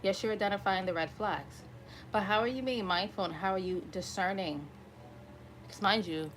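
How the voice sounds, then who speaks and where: A woman with a slightly raspy voice giving an improvised tarot reading to viewers, conversational and often checking in with listeners. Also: mid-range voice, bright, forceful tone, slight background noise, poor audio. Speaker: tarot reader hosting an online collective reading.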